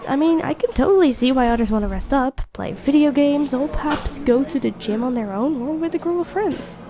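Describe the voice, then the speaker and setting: A woman's voice: sits high, lively intonation, brisk, background noise. A woman playing a friendly, teasing young best friend with a secret crush in an intimate ASMR roleplay. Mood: friendly, chatty. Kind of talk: intimate ASMR roleplay acting, one side of a conversation.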